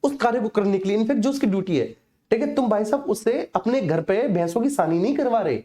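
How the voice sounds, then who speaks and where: A man's voice: sits high, expressive, fast talker, warm tone. A man giving an informal exam lesson, explaining conversationally with frequent check-in tags. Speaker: teacher for police exam coaching.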